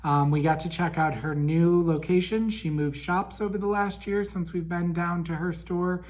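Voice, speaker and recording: A man hosting a casual monthly podcast recap, raspy and weak in breath from a head cold, chatty with frequent ums. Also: high-pitched, little pitch movement, normal speed, a little mellow. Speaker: podcast host.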